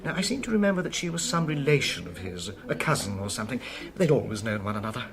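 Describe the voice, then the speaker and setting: An actor playing a genial, obliging restaurant proprietor, courteous and chatty in a radio drama. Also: male voice, medium pitch, sing-song, fast talker, lightly acted. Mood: amused. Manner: effusive, courteous, chuckling. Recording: busy crowd chatter behind.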